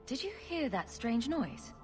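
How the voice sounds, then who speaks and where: A woman's voice: on the high side, sing-song, unhurried, faint music behind. A woman playing a young human heroine in a fantasy video game, speaking in a dry, wry and direct manner. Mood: curious, skeptical.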